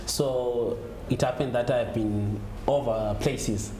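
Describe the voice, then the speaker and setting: A man with a Zimbabwean accent speaks as an interview guest in a middling pitch. He often restarts and repeats phrases, and the room echoes around him with clear noise behind. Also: fairly even intonation, slow. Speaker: gospel musician being interviewed. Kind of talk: conversational interview answers.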